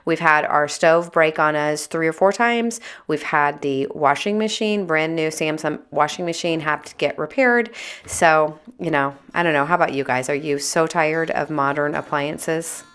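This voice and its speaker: A woman with a raspy, hoarse voice, chatting candidly as a homemaking vlogger. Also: sits low, moderate pace, fairly bright tone. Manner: chatty, candid, a little exasperated. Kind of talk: casual vlog chat.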